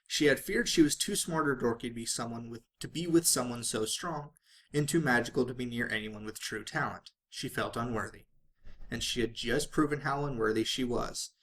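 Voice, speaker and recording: A man's voice: mid-range voice, moderate pace, a bit bright. A man reading fan fiction aloud as a dramatic narrator, sometimes stumbling and rereading phrases.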